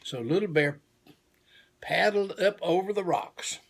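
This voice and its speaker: Slow, gravelly man reading a bedtime story. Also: high voice. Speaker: storybook reader. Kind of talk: expressive children's story read-aloud.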